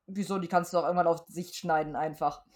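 A woman's voice: fairly low pitch, steady tone, fast talker, darkish tone. A woman chatting casually as a podcast co-host, blunt and colloquial in the way she puts things. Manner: blunt, colloquial, salty-tongued. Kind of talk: casual podcast chat reviewing an audio drama.

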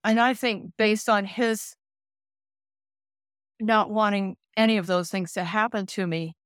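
A woman in her late sixties, a care manager and counselor, speaking gently and thoughtfully in an interview, often pausing to find her words. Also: medium pitch, steady tone, dry recording, clean studio sound. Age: 60s. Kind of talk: reflective interview conversation.

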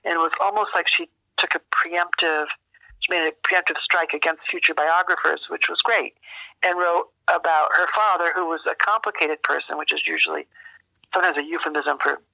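A woman's voice: low voice, lively intonation, gravelly, bright, forceful tone, no room sound. Older American woman on a dull landline. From rural United States. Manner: halting, thoughtful, with long searching pauses. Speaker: interview guest, author and memoir teacher. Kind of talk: reflective interview talk. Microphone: landline telephone. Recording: dull, narrow landline phone sound.

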